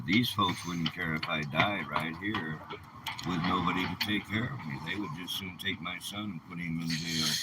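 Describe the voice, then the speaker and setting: A man's voice: deep voice, heavy background noise. A man in his seventies delivering an aggrieved personal vlog monologue in an indignant, emphatic way. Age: 70s. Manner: indignant, emphatic, rhetorical questions. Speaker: vlogger.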